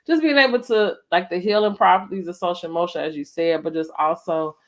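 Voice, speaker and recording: A woman's voice: on the low side, brisk. A woman with an American accent hosting a casual interview, talking conversationally with lots of "like" and "you know". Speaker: host of a family storytime interview.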